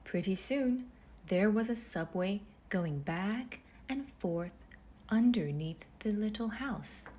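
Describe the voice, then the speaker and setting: A woman reading a children's storybook aloud in measured phrases with deliberate pauses, her husky voice turning breathy as phrases end. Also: mid-range voice, lively intonation, dark tone, slight background noise. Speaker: storybook reader. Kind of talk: children's read-aloud narration.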